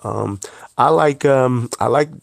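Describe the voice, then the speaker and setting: A man's voice: medium pitch, slow pace, creaky, dry recording. A middle-aged man who grew up in Cambodia speaking Khmer first, a chef and author talking earnestly in an interview, with many fillers. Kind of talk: interview conversation.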